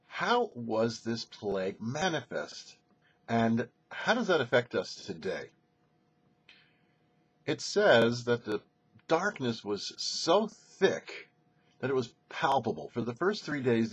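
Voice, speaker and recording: A man with a husky voice giving a scripted religious commentary as a rabbi, in a teacherly manner of posing questions and explaining them. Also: medium pitch. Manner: didactic, poses questions then answers them. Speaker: rabbi hosting a weekly Torah show.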